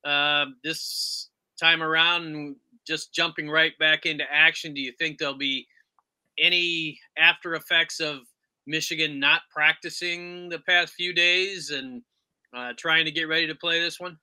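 A man's voice: fairly high pitch, fairly even intonation, unhurried, pushed and bright, clear, resonant tone. A man hosting a sports talk podcast and putting questions to his guest. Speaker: podcast host.

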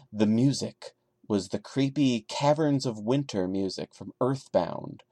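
A man's voice: fairly low pitch, dark tone, no room sound, clean studio sound. A man hosting a podcast, giving a dramatic story reading broken up by sarcastic, mocking commentary. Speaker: podcast host.

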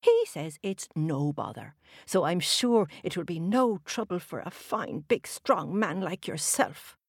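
A woman's voice: fairly low pitch, sing-song, unhurried, breathy edge, no room sound, clean studio sound, exaggerated voice. A woman doing sly, sweet-talking Una. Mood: coaxing. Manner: sugary, coaxing, falsely polite.

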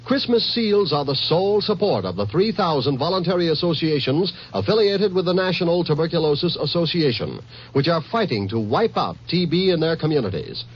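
A man reads an earnest 1950s radio appeal with formal, emphatic delivery. His voice is husky and a little high, rising and falling in an animated way. The broadcast sounds dull and old. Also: fairly quick. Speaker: announcer of a charity appeal. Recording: muffled vintage radio broadcast.

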